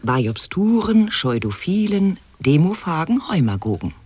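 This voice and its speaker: A woman's voice: deep voice, sing-song, unhurried, a little mellow, husky, no room sound, heavily acted. A woman acting an eccentric chanter of mock-learned nonsense in an avant-garde radio play, theatrical and exclaiming. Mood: chanting.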